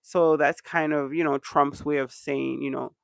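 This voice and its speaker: Congested man with a gravelly voice. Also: fairly high pitch, a little slow, no room sound, clean studio sound. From Canada. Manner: relaxed rambling with many ums. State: congested from allergies. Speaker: channel host. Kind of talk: casual livestream chat.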